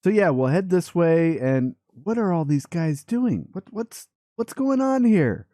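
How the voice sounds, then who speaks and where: Playful man with very animated pitch. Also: measured pace, dry recording, studio quality. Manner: playful, chatty, talks to the viewer and game animals. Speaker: host of a gaming let's play series. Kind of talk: upbeat casual gameplay commentary.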